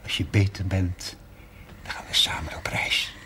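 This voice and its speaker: Deep, hushed, soothing husband. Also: male voice, fairly even intonation, a little slow, whispered. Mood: soothing, subdued. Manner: hushed, gentle, reassuring. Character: worried, soothing husband of a sick woman. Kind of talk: 1970s Dutch television drama acting. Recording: noisy old TV audio.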